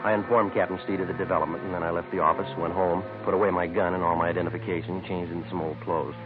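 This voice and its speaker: Actor playing a police sergeant, man, very low voice, fast clipped delivery, matter-of-fact, deadpan, vintage radio drama. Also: close to an everyday voice. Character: terse, matter-of-fact male police sergeant narrating his case. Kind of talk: 1950s radio drama acting, clipped narration. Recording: muffled, tinny old 1950s radio recording with music.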